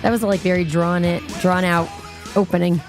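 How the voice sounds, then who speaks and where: A woman's voice: on the low side, flat delivery, normal speed, over music. A woman in her fifties co-hosting a casual podcast, answering with dry, sarcastic comebacks. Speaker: podcast co-host. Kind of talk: casual banter.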